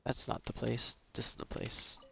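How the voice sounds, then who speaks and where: A man's voice: moderate pace, sharp tone. A man streaming a video game, giving casual gameplay commentary into a close, slightly dull microphone.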